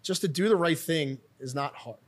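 A man's voice: fairly high pitch, moderate pace. Blunt rambling Philadelphia man. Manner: rambling, many restarts and "like"s, blunt. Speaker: real estate team leader, podcast guest. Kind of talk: casual interview chat.

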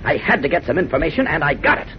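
High-pitched, brisk American radio-serial reporter hero. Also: male voice, big pitch swings, a crowd in the background. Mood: exasperated, curt. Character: brisk, insistent male newspaper reporter, secretly a superhero. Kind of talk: 1940s radio serial acting. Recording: dull old radio broadcast, clear hiss.

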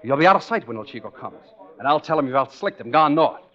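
A man's voice: medium pitch, lively intonation, fast, a bit bright, natural voice. An actor playing a cocky, scheming American tough guy in a hard-boiled, slangy 1940s radio thriller. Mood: urgent, feigned remorse. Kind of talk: 1940s radio thriller acting and first-person narration. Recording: dull 1940s radio recording with music.

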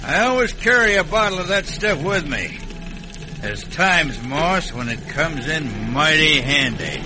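A man's voice: big pitch swings, a little slow, loud music behind the voice. A man playing a scheming, villainous boat skipper with an American accent and folksy slang, in classic radio western style. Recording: noisy old radio recording with rumble.

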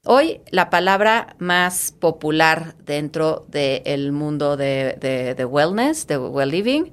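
Low-voiced, eager Mexican woman. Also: lively intonation, slow, smooth. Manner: enthusiastic, restarts and repeats words. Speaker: guest, fitness studio founder. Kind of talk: casual interview talk.

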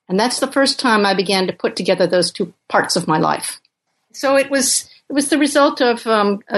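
An older woman speaks at a middling pitch, reflecting aloud as she answers questions about her work. She pauses often with ums and uhs, working her way toward each point. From Uganda. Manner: thoughtful, hesitant with many fillers. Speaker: author and professor. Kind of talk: podcast interview.

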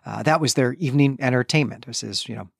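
A man's voice: medium pitch, fast talker, gravelly, breathy edge, dry recording, studio quality. A man hosting a conversational book discussion, explaining his reasoning at length in an expository way. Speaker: podcast host. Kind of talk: conversational literary recap and discussion.

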